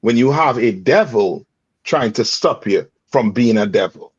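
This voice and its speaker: A man's voice: medium pitch, lively intonation, measured pace, husky. A man leading a scripture reading, breaking in with emphatic commentary and rhetorical questions. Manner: emphatic, rhetorical questions, repeats "read on" and "you understand". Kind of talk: call-and-response scripture teaching. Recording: dull lo-fi dry sound.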